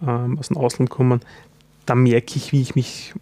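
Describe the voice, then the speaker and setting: A man's voice: mid-range voice, little pitch movement, mellow, dry recording. Styrian man, austrian dialect, chatty, dry close mic, podcast co-host. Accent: Styrian Austrian German. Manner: chatty, fills pauses with "ja". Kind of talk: casual chat.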